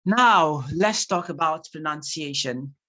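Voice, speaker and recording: An instructor with a Nigerian accent, a native Yoruba speaker, lecturing a class in an animated, emphatic way. Important phrases come back twice so that they sink in. The voice sits at an ordinary, middle pitch. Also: takes it slowly. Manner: animated, repeats key points for emphasis.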